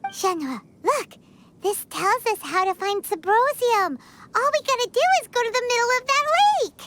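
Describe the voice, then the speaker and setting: A woman's voice: very high voice, lively intonation, moderate pace, noisy background. A woman voicing a tiny, childlike fairy companion in a video game, perky, blunt and exclamatory. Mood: cheerful, excited. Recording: loud music behind the voice. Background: loud game music.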